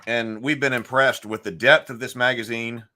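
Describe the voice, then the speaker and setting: Bright-voiced man with a gravelly throat. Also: mid-range voice, fairly even intonation, moderate pace. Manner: clears throat often, thinks aloud with fillers. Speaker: live stream host. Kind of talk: casual morning live stream chat.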